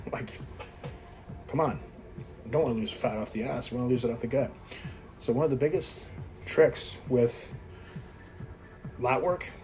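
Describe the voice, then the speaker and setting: A man's voice: mid-range voice, a little mellow. Blunt, self-mocking man in his fifties. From Quebec, Canada. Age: 50s. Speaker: fitness vlogger. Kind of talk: casual workout commentary. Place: indoor gym.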